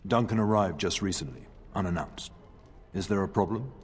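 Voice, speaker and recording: Middle-aged castle lord, firm but fatherly, lowish voice, big echoey hall, fantasy game. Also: breathy edge. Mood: guarded, firm. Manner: measured, authoritative, paternal. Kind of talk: fantasy video game acting. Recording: reverberant stone hall, music underneath.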